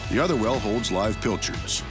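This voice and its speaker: Man narrating fishing television over loud music. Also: on the low side, lively intonation, moderate pace. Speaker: narrator and host. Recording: music under the voice.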